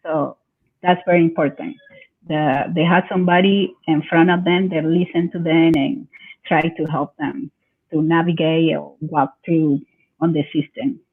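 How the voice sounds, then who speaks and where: A woman from Venezuela with a Venezuelan Spanish accent, a bilingual parent educator who speaks Spanish as her first language and answers in a halting way, often searching for words. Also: deep voice, steady tone, husky. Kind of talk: conversational interview answers. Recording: tinny phone-like call audio.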